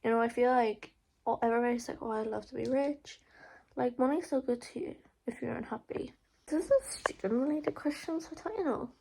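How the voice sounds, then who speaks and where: A woman's voice: a little high, a little fast, gravelly, warm tone, a little hiss or hum, low-quality recording. A young adult woman with an Irish accent, chatting casually through a get-to-know-me Q&A, full of "like" and "um" fillers and blunt swearing. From Ireland. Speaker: vlogger. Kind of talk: casual Q&A vlog.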